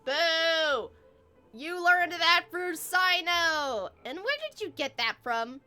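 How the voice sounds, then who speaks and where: A woman livestreaming a game, chatting casually and excitably to her chat. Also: extremely high pitch, slow pace. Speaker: live streamer. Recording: faint game music behind. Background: game music.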